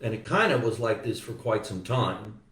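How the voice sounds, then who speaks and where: A man's voice: on the low side, expressive, normal speed, fairly bright tone, distant mic. Man hosting a comedy podcast, echoey room. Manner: rambling, joking asides. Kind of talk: casual comedic chat. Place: room in Florida.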